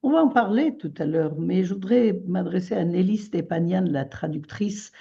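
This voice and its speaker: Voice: mid-range voice, expressive, vocal fry, mellow, breathy edge, dull audio. A radio host asking guests questions, hesitating often. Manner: hesitant, many pauses and fillers. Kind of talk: radio interview.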